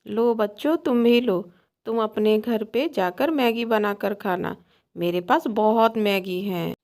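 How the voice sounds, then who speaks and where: A woman voicing a kind, hopeful young village mother in a cartoon story, speaking gently. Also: mid-range voice, slow. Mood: gentle, generous. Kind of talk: cartoon bedtime story acting.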